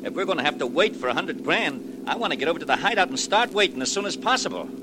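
A man's voice: animated, fast talker, lightly acted. An actor playing a slangy, wisecracking American henchman and getaway driver in a 1940s radio crime drama. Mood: incredulous. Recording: noisy old radio recording, vehicle rumble.

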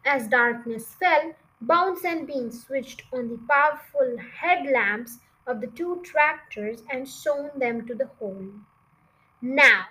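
A woman narrates a children's storybook in a very high voice. She reads slowly and deliberately, giving the sentences expression as an amateur reader would. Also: expressive, sharp tone, slight background noise, rough recording.

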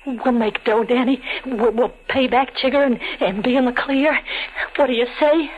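An actress playing a frightened, pleading gangster's wife with plaintive, slangy delivery in a 1940s radio thriller. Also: female voice, high voice, steady tone, moderate pace, a little hiss or hum, close to an everyday voice. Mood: pleading, coaxing. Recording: dull old radio broadcast.

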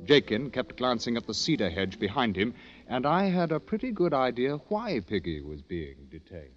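An actor playing a war correspondent who narrates an army adventure in an old radio drama. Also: male voice, medium pitch, very animated, moderate pace. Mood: measured storytelling. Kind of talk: scripted 1940s-50s radio drama narration. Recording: dull old radio recording. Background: music.

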